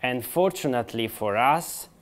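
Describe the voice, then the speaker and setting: A middle-aged man from Italy with an Italian accent, giving a conversational business conference presentation in English, often restarting and filling pauses. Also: medium pitch, lively intonation. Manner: conversational, halting, with frequent restarts and fillers. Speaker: conference speaker.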